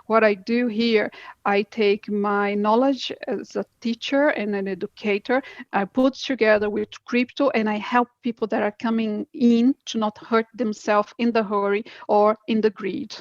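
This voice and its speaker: A woman's voice: mid-range voice, steady tone, moderate pace, no room sound, lo-fi sound. A woman from Brazil with a Brazilian Portuguese accent, speaking English as a guest in an interview, earnest and enthusiastic, often restarting her sentences. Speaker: guest, crypto educator. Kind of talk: interview conversation.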